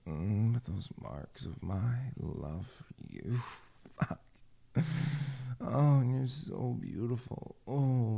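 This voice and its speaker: A man speaking in an intimate, whispered audio roleplay, breathy and murmuring, with frequent sighs. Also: medium pitch, dark tone.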